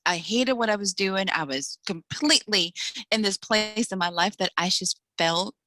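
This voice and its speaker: Bright, chatty woman from the Dominican Republic. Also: medium pitch, a little hiss or hum. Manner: quick, chatty, laughs often. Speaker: guest author. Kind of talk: casual interview chat.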